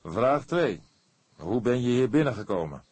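A man voicing a grumpy, weary older police detective with a gruff, stern manner, in an audiobook. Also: mid-range voice, big pitch swings, a little slow, darkish tone, dry recording, pitched above the performer's own voice. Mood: sharp, stern. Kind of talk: audiobook character dialogue.

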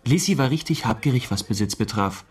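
A man's voice: mid-range voice, lively intonation, a little fast, breathy edge. An actor playing a smug, argumentative young man in a radio drama, confident, insistent and matter-of-fact. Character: cocksure young know-it-all, argumentative, smug.

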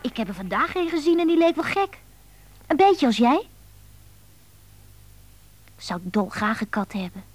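A high-pitched teenage schoolgirl, confiding and impulsive. She swings between defiance and tenderness in intimate radio-play phone monologues, lonely underneath it all. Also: very animated, measured pace, pauses often, no room sound, full character voice. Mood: chatty. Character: lonely, moody seventeen-year-old schoolgirl confiding by phone.

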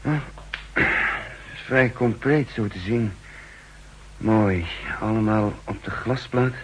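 An actor playing a weary counterspy who gravely recounts his own wounded mission in a 1960s radio drama. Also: lowish voice, slow pace, rough recording. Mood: strained, businesslike. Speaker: narrator and lead actor. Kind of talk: 1960s Dutch radio drama acting and narration. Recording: hissy, humming 1960s radio recording.

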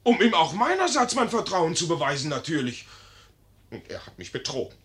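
An actor playing a young, hot-headed and naive army lieutenant, indignant and declamatory, in a 1950s German radio play. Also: male voice, on the high side, sing-song, a little fast, a bit bright. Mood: outraged.

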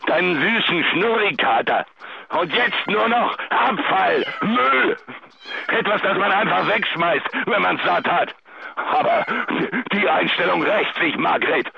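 An actor playing a betrayed, bitter husband leaving emotional, halting phone messages in a German radio drama. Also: male voice, high-pitched, lively intonation, creaky, heavily acted. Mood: vengeful, furious. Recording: dull answering-machine sound, faint noise.

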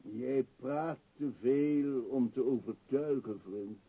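Husky middle-aged tramp in an old radio play, slow talker, wounded and theatrical. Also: male voice, mid-range voice, dull audio. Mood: dry. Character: destitute middle-aged male vagabond, wounded, stubbornly insistent. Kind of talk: radio drama acting.

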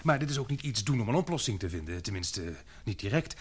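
Quick, husky, eager young adventurer. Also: male voice, lowish voice, sing-song. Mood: eager, persuasive. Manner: eager, deferential. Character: eager, daring young male expedition member. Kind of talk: Dutch radio drama acting.

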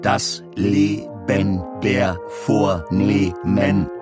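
Male: solemn political reciter, lowish pitch, deliberate fragmented phrasing, music loud behind him. Experimental radio play. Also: flat delivery. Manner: slow, deliberate, fragmented declamation. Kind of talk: declaimed text in experimental musical audio drama.

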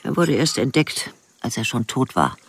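An actress playing a plainspoken, wry old village woman in a radio drama, with a deep, often hushed and breathy voice. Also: sing-song, moderate pace, heavily acted. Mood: wry, matter-of-fact. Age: older. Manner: matter-of-fact, dryly blunt. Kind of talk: radio drama first-person narration and dialogue.

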